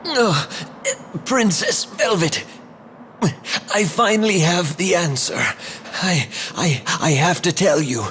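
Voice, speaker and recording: A man playing a dying elderly scholar in a video game, speaking haltingly, strained and gravely urgent. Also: high-pitched, animated, fairly bright tone, slight background noise, heavily acted. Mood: desperate, labored. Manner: halting, strained, swooping theatrical delivery. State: weak and gravely injured. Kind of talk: scripted video game voice acting.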